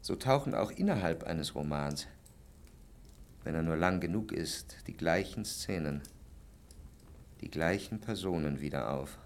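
Jealous lover for period radio drama, low register, urgent, reproachful. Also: male voice, animated, a little slow, pauses often. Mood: musing, didactic. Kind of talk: German literary radio drama.

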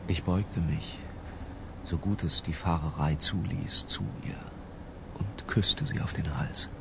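An actor playing an obsessed, cultured middle-aged narrator in a literary radio drama, lyrical and intimate. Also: male voice, low-pitched, takes it slowly, gravelly, background noise, heavily acted. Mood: confessional.

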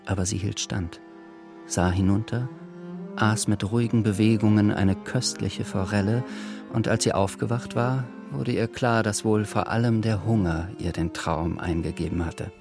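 A man's voice: on the low side, fairly even intonation, moderate pace. A man narrating a radio play in a scripted, literary style. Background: music.

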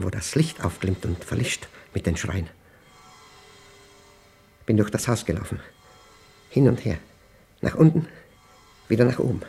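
Gravelly, paranoid, obsessive man. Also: medium pitch, darkish tone, heavily acted. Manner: clipped, fragmented, intense delivery with emphatic outbursts. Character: paranoid, obsessive man recording a diary, battling a machine. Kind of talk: German radio play monologue as spoken diary.

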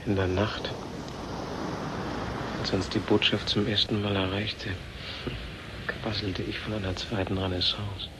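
A man's voice: fairly low pitch, level tone, measured pace, pauses often, lightly acted. A man playing a terse, grave, idealistic physicist in a German radio drama. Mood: brooding. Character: brooding male physicist, idealistic and quietly rebellious. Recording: very noisy old radio play recording.

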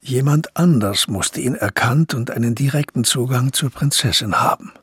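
A man narrating a radio drama in a measured, descriptive, literary storytelling style. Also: medium pitch, fairly bright tone, slightly rough, no echo, studio quality.

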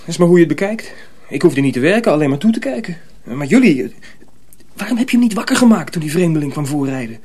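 An actor playing a resentful, defiant teenage apprentice in a Dutch radio drama, with an indignant, complaining manner and a husky voice. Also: male voice, a little high, sing-song, fast talker, darkish tone.

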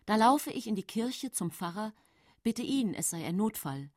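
An actress playing a calculating, self-pitying poisoner widow who narrates her own tale in a radio drama, with a husky voice. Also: medium pitch, very animated, normal speed, darkish tone, no echo, studio quality. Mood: urgent, self-excusing.

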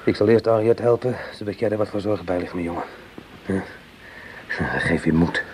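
Actor as low-voiced, troubled soldier, noisy recording. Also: male voice, a little mellow, close to an everyday voice. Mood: subdued, conciliatory. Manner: dramatic, halting, earnest. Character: troubled, defensive soldier of the imperial army. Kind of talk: Dutch radio drama acting. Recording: noisy old radio play recording.

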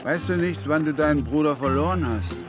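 A man's voice: on the high side, a little fast, lightly acted. Laconic, wry Comanche tracker played by a man. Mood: teasing. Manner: laconic, questioning. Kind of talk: German radio drama dialogue. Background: music.

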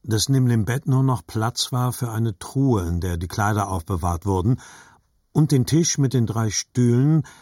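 An actor narrating as a grown man remembering his childhood, measured and reflective, in scripted literary style. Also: mid-range voice, animated, warm tone, dry recording, clean studio sound, lightly acted.

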